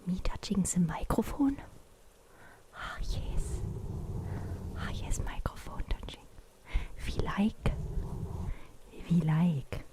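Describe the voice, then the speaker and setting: Woman putting on a low, exaggerated German ASMR host. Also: expressive, takes it slowly, pauses often, dark tone, slightly rough, exaggerated voice. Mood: pleased, welcoming. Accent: exaggerated put-on German. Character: over-the-top German ASMR host, pleased and savouring. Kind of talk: playful ASMR parody.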